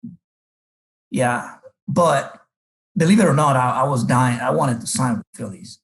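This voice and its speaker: A man's voice: medium pitch, normal speed, low-quality recording. A middle-aged man from the Republic, a baseball coach telling his life story unhurriedly in an interview, with many fillers. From Dominican Republic.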